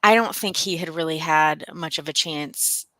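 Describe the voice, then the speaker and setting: A middle-aged woman co-hosting a livestream, chatting casually in a halting, personal storytelling way. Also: sits low, sharp tone, no room sound. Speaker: co-host of a book readalong livestream.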